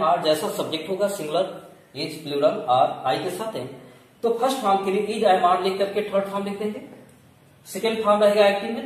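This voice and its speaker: A man teaching a grammar lesson step by step, asking questions, answering them himself and repeating key points. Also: high-pitched. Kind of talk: classroom lesson, explaining step by step. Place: echoey room. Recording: very echoey room, distant mic, faint noise.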